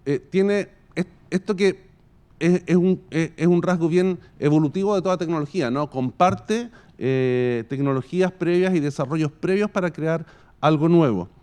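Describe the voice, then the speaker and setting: A Chilean man giving a semi-improvised conference talk in a halting, thoughtful way, often pausing mid-phrase. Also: fairly high pitch, darkish tone, clear, resonant tone. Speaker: podcast company founder giving a presentation.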